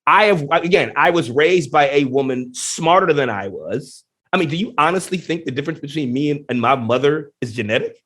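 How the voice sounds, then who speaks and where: Man, higher-pitched voice, energetic and emphatic, podcast guest. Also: brisk. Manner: energetic, emphatic, many restarts and rhetorical questions. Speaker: guest, genetics professor. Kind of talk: podcast interview, animated explaining.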